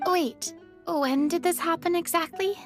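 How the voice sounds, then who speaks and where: Tiny squeaky anime game fairy, super high kiddie voice, slowish, chatty and excitable. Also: female voice, faint music behind. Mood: confused. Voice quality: squeaky, childlike. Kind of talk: anime video game voice acting.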